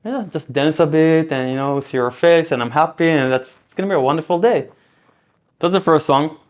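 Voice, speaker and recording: A man in his thirties from Israel with a Hebrew accent, a guest chatting casually in an interview, relaxed and full of fillers and restarts. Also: on the high side, a little fast.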